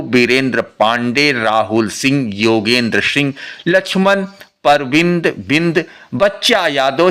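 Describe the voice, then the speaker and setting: A man's voice: on the high side, a bit bright, clear, resonant tone. A man reading a scripted news report as a narrator.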